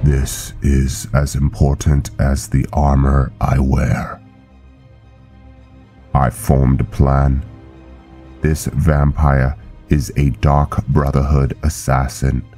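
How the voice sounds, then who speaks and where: Man playing a very deep, slow vampire knight. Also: completely flat delivery, mellow, soft background music. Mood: solemn, calculating. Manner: formal, solemn, measured. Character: stern, honor-bound male vampire knight officer, coldly judging. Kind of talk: scripted roleplay narration and game dialogue.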